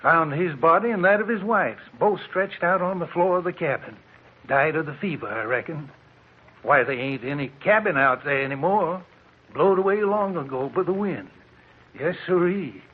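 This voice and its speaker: An older townsman with a folksy drawl who is sure of his story. His voice sits a little high, and it comes through a tinny old radio recording with a faint hiss. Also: normal speed, slightly rough. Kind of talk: 1940s radio acting.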